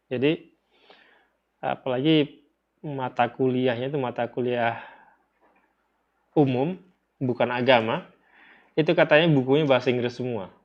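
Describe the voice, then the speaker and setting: Indonesian male teacher, dull lo-fi recording. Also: medium pitch. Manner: explanatory, repeats phrases and poses questions to listeners. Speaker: religious teacher. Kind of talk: informal lecture explaining a text. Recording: dull, lo-fi audio.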